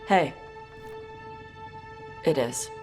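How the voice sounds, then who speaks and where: An actress playing a blunt, terse young dragon hunter with a defiant streak in a fantasy video game. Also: female voice, drawn-out words, a little mellow, faint music behind. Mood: frank.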